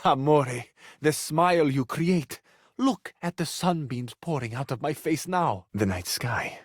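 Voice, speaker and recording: A dry, close recording of a romantic Italian charmer from a video game. He speaks in an Italian accent at a middling male pitch. His manner is effusive and courtly, full of poetic longing and tender flirtation. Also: slightly rough, dry recording. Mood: joyful, passionate. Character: romantic, poetic Italian lover, flowery and yearning. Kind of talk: video game character acting, romantic dialogue.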